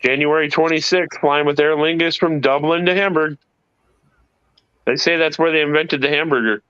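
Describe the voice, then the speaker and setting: A man's voice: a little high, steady tone, moderate pace, fairly bright tone, clean tone, no echo, highs cut off. Eager rambling North Carolina man. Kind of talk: casual livestream chat.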